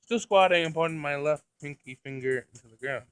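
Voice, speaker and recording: A man with a slightly high voice and a dry, close sound reads a visual novel aloud for a let's-play. His delivery is halting, with stumbles over words and offhand reactions in a casual tone. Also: clean tone, no echo. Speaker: let's-play video creator.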